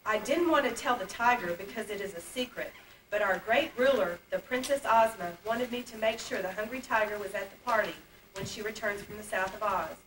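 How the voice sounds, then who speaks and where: A woman's voice: mid-range voice, fairly quick, a bit bright, natural voice. A woman playing a kindly, reassuring young princess in an amateur puppet play, speaking earnestly. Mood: coaxing. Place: indoor puppet stage, far camera. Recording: distant, echoey, noisy old camcorder tape.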